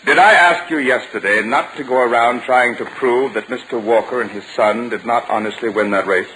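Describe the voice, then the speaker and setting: A man's voice: mid-range voice, normal speed, pushed and bright, natural voice. An actor playing a stern, exasperated middle-aged father who questions firmly, in a 1940s radio comedy on an old recording. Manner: firm, questioning, authoritative. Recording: noisy vintage 1940 radio broadcast recording.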